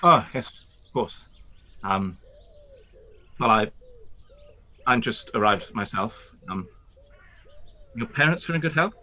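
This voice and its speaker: A man voicing a proud young gentleman, stiff and stammering, in a live-read radio play. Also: fairly low pitch, lively intonation, slow pace, pauses often, heavily acted. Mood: awkward. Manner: stammering, awkward.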